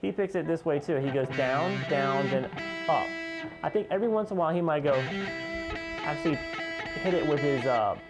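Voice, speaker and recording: A man teaching guitar in an informal, conversational way, explaining step by step and pausing as he points out each note. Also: a little high, lively intonation. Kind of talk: informal instructional lesson, demonstrating step by step.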